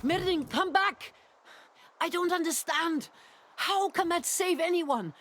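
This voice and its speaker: Desperate young sister, piercingly high, drawn-out words, frail, anguished, pleading, game cutscene. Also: female voice, a bit bright, faint music behind, exaggerated voice. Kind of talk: video game cutscene acting.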